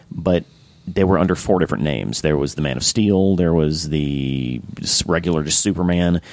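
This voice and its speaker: Low-voiced guy hosting a podcast, kinda hoarse, lots of restarts, voice swoops up and down, dry close sound. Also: a little mellow, dry recording. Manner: rambling, frequent restarts and fillers; enthusiastic. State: slightly hoarse. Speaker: podcast host. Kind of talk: casual podcast chat.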